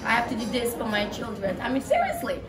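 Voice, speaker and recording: A woman hosting a gala event, praising and thanking a guest in a lively way. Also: fairly high pitch, fast talker. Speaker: event host. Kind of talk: emcee banter. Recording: echoey hall, distant, crowd and music.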